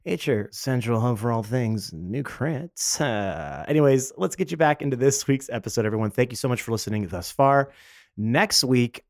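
A man's voice: medium pitch, darkish tone, no echo, studio quality. A man narrating casually as game master and host of a tabletop game podcast.

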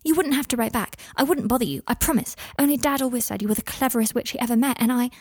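An earnest young wizard boy, read by a woman in a storybook style. He is polite and eager, with a breathy, lifted pitch and a husky edge, recorded close and dry. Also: quick pace, a little mellow, no echo. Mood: shy, pleading. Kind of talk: audiobook character voice.

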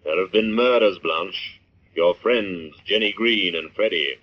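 An actor playing an unseen, sinister avenger who taunts and questions in old-time radio drama style. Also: male voice, low voice. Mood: menacing, pressing. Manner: taunting, menacing, deliberate questioning. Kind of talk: 1940s radio drama acting. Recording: muffled vintage radio recording.

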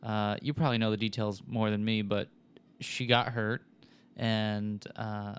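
A man co-hosting a podcast, talking quickly and joking in casual chat. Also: lowish voice, level tone, clean tone.

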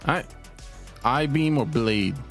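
A man narrating a tabletop roleplaying game as game master, in a measured, descriptive way with pauses. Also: medium pitch, faint music behind.

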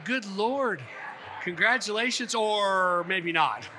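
A man hosting an on-location interview, talking in a folksy, effusive and halting way. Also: high-pitched, animated, bright, forceful tone. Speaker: podcast host. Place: busy conference venue at a hotel. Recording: clear background noise on location.

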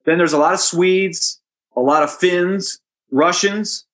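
A hockey play-by-play announcer answering interview questions in a relaxed, anecdotal way, chatty and amused. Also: male voice, high voice, measured pace. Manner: chatty storyteller, amused, with restarts and asides.

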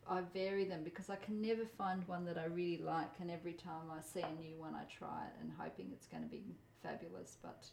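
Soft-spoken middle-aged woman. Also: lowish voice, lively intonation, reverberant, poor audio. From Australia. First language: Polish. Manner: thoughtful, measured, laughs softly. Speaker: interviewee, home cook. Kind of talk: relaxed conversational interview. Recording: faint background noise.

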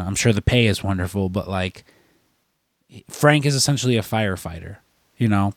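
A man's voice: fairly low pitch, dry recording. A young man chatting casually as a podcast co-host. He is congested from a cold and rambles with lots of fillers and swearing. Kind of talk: casual chat.

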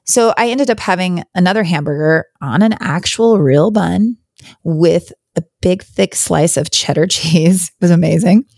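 Hoarse woman rambling on her podcast, low and croaky, kinda candid. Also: a little slow, a little mellow, no echo, studio quality. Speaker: podcast host. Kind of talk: casual solo podcast chat.

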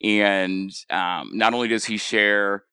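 A man with a Rhode Island accent hosts a casual podcast chat in a lowish, bright and crisp voice. He stumbles and repeats words as he goes. The sound is dry and close. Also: fairly even intonation, dry recording. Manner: halting, repeats words, hedging fillers. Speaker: podcast host.

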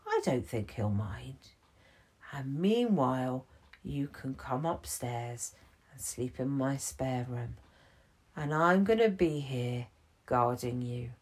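A woman voicing a kindly, gentle male story character who speaks in a soft, soothing way. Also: extremely low pitch, expressive, slow, husky, exaggerated voice.

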